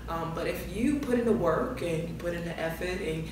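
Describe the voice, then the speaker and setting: A woman in her thirties speaks casually, as if among a group, telling stories from her own life. Her voice sits a little low. She is recorded from well off the mic in a heavily echoing room with clear background noise. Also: animated, fairly quick, far from the mic. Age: 30s. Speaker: panelist on a relationship talk show. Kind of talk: casual group chat, personal storytelling.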